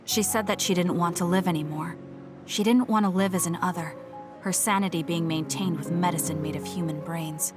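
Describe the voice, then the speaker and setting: Woman acting blunt, low-toned young female soldier over music. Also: fairly even intonation, fairly quick, natural voice. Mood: earnest, contrite. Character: stern, blunt young female soldier, reserved but caring. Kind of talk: video game English voice acting. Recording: game music behind the voice. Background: game music.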